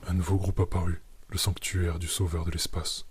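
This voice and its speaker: A man narrating a scripted video in a measured, explanatory way. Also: sits low, little pitch movement. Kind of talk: scripted narration with French translation read-out. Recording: clearly noisy recording.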